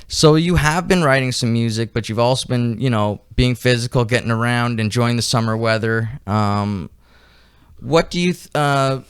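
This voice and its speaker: A man's voice: medium pitch, moderate pace, smooth, no echo, clean studio sound. A man hosting a podcast, asking casual, curious questions with some filler words. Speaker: podcast host.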